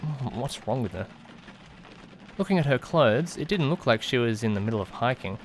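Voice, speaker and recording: Audiodrama narrator: man playing young male student, anxious and brooding, hesitant when speaking, medium pitch, background noise behind. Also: expressive, normal speed, natural voice. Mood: puzzled. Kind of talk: first-person dramatic narration, visual novel audiodrama.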